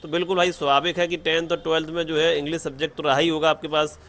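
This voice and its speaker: A man's voice: on the high side, little pitch movement, rapid-fire, a bit bright. A man narrates a step-by-step screen tutorial in an instructional way, mixing English terms into his Hindi and often checking in with an okay. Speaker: online tutorial presenter.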